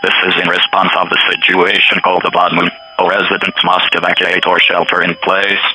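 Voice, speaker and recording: A computer-style male voice reading an evacuation order in a flat, formal manner. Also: low-pitched, a little fast, a little hiss or hum, rough recording. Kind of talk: emergency evacuation announcement.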